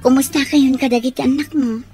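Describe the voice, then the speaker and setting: A woman's voice: sits high, steady tone, brisk, music in the background. An actress playing a consoling, motherly woman in an Ilocano radio drama, with an earnest, soothing delivery and an Ilocano accent. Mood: sympathetic. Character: consoling mother figure, gently advising.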